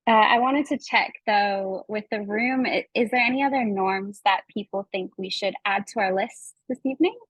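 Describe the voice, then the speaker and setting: A woman's voice: medium pitch, normal speed, hardly any pauses, husky, no echo, rough recording. A woman with a Canadian accent hosting an online workshop over a video call, talking in a friendly, informal way. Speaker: workshop host and facilitator. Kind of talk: presenting an online workshop to participants.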